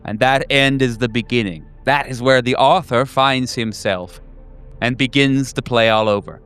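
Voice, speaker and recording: Guy doing cryptic scholarly henchman of some cosmic king, horror rpg, measured n clear, music behind. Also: medium pitch, fairly bright tone, natural voice. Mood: ominous, cryptic. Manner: measured, philosophical, enigmatic. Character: cryptic, bookish male servant of a cosmic king. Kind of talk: improvised tabletop horror roleplay.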